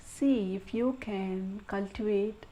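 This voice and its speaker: A woman guides a meditation with a soft, soothing delivery. Her tone is mellow and warm, at a middle pitch for a woman. She moves very slowly, setting down short phrases with pauses between them.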